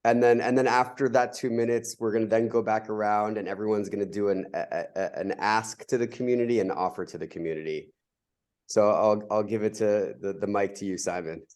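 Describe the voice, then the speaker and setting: Low-voiced man hosting a call. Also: steady tone, fairly quick, darkish tone. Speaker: meeting host. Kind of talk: casual video call moderation.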